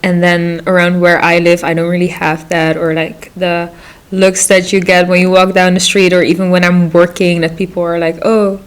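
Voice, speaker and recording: A nineteen-year-old woman speaking in a measured, thoughtful way as a guest on a casual podcast. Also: lowish voice, level tone, clear, resonant tone. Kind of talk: casual group discussion.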